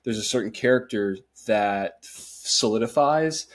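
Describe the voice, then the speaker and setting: A man's voice: fairly low pitch, a little slow. A young man hosting a podcast, talking in a loose, rambling way with lots of fillers. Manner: rambling, laid-back, lots of "like" and "uh". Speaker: podcast host. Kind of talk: casual podcast chat.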